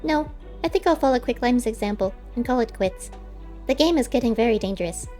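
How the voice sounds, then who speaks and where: A clever little male squirrel, played by a woman reading a storybook, speaks in a high, soft-edged voice. He is plain-spoken and wistful, always ready to bargain. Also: expressive, brisk, soft background music, natural voice. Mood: guarded. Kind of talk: audiobook character voice.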